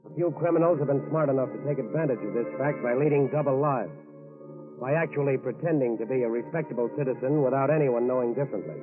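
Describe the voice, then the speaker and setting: A man's voice: mid-range voice, fast. A man narrating a crime drama in dramatic, scripted 1940s radio style, on an old tinny recording. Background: music.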